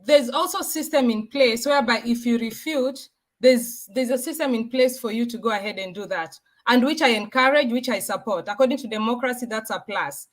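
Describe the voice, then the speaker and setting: Female panelist, Kenyan accent, fairly high voice, earnest debate delivery that circles back on points. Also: brisk. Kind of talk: political debate.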